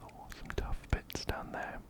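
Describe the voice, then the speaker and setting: Slow, whispering male ASMR gamer. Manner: gentle, repeats phrases softly. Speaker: ASMR host playing a video game. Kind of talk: close-up whispered ASMR game commentary. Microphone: close binaural microphone, ear to ear.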